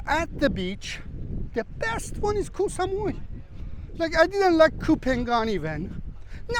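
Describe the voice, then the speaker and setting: A man talking casually to his viewers in broken English while walking a beach with a handheld camera. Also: high voice. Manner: chatty, non-native English with broken grammar. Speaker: travel vlogger. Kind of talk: casual walking vlog chat. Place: outdoors on a windy beach. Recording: wind noise on the mic outdoors. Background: wind and outdoor ambience.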